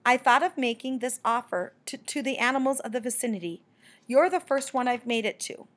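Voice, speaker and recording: A woman's voice: a little high, lively intonation, moderate pace. A woman voicing a shy, earnest little male bat poet in a storybook, with a timid, hesitant manner.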